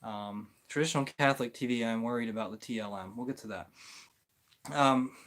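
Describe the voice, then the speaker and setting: Male livestream host, mid-range voice, informal and unscripted, feeling his way through each point with halting rephrasing. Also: mid-range voice, a bit bright. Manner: hesitant, halting, often rephrasing mid-sentence. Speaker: livestream host answering viewer comments. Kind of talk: casual, rambling opinion talk on religion.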